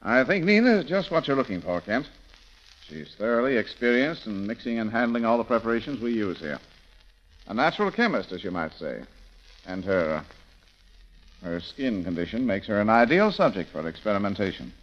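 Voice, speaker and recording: An actor playing a smooth-talking, businesslike shop boss, polite and matter-of-fact, in 1930s radio romance style. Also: male voice, on the low side, big pitch swings, fairly quick. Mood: businesslike, persuasive. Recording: muffled, crackling 1930s radio recording.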